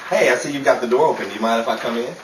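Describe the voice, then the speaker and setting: An actor playing a dim, cheeky magician pal in a 1930s radio comedy, blustering theatrically through wisecracks. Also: male voice, mid-range voice, very fast, close to an everyday voice. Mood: breezy. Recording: roomy, distant, noisy, audience sounds behind.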